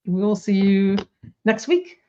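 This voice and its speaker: A woman's voice: mid-range voice, expressive, takes it slowly, darkish tone. Woman co-host, chatty and bubbly, a little under the weather, slightly dull lo-fi recording. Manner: chatty, bubbly, lots of likes and ums. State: coming down with a cold. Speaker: toy news podcast co-host. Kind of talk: casual chat.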